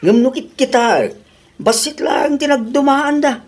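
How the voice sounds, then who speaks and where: A man's voice: very high voice, expressive, measured pace, exaggerated voice. A man with an Ilocano accent playing a thrifty husband who argues about prices. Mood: arguing. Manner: arguing, reasoning. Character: frugal husband. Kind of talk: radio drama acting.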